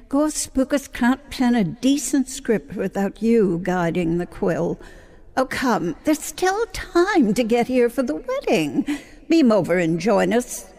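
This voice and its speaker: A woman's voice: mid-range voice, creaky, darkish tone. A woman playing a haughty, scornful socialite villainess in a sci-fi radio comedy, languid and grand.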